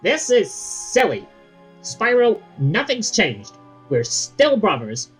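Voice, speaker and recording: A woman's voice: medium pitch, wildly swooping pitch, slow, breathy edge, full character voice, poor audio. A woman voicing a cheeky male dragonfly who jokes and stammers nervously, over faint music. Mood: playful. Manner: stammering, joking, bouncy delivery.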